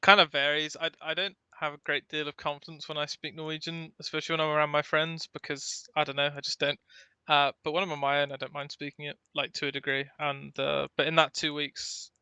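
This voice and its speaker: A man's voice: a little high, flat delivery, a little fast, sharp tone, clean tone, no room sound. A man co-hosting a podcast in casual chat, talking in an informal, rambling, anecdotal way. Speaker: podcast co-host.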